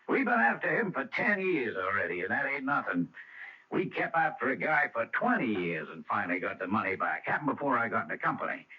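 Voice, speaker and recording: A man's voice: medium pitch, natural voice. A man acting in a radio drama as a smug, dogged insurance detective with slangy, insinuating tough talk. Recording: muffled old radio recording.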